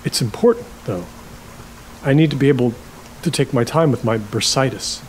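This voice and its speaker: An actor playing a stubborn, halting older man, a reclusive rental host, in an audio drama. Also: medium pitch, a little mellow, slight background noise, close to an everyday voice, low-quality recording. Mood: fussy, stubborn. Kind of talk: naturalistic audio drama acting.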